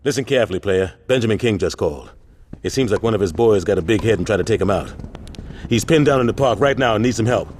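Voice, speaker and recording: An actor playing a seasoned gang leader who gives orders bluntly and firmly in a video game cutscene. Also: male voice, on the low side, expressive, quick pace, a little mellow, slight background noise, natural voice. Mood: urgent. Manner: blunt, commanding.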